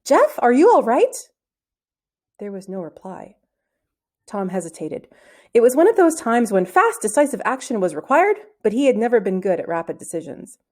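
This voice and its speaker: A woman reading a children's novel aloud as an expressive storybook narrator. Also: mid-range voice, brisk. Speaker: storybook reader.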